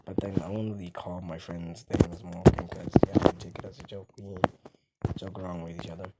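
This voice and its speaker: A young man with a very deep voice, rambling casually in a gaming vlog and sometimes mumbling softly. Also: flat delivery, moderate pace, highs cut off. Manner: rambling, soft and mumbled at times, hard to hear. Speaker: gaming vlogger. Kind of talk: casual rambling talk to camera. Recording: loud background noise with mouse clicks.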